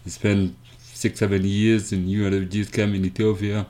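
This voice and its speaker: A man with a South Sudanese accent telling his story as an interview guest, haltingly and with repeated words. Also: on the low side, flat delivery, dark tone. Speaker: interview guest, foundation founder. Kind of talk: conversational storytelling.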